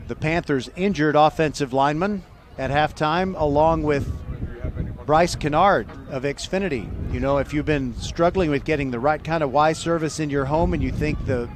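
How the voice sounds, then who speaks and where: A man calling live high school football play by play, describing each play in detail. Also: a little hiss or hum. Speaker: play-by-play sports announcer.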